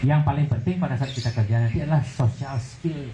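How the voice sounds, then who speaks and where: A man with a Balinese accent gives an encouraging, lively talk to young people. He has a mid-pitched voice. The recording carries a roomy echo and faint background noise. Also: fast. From Bali, Indonesia. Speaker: guest speaker. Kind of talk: informal talk to youth. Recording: echoey room.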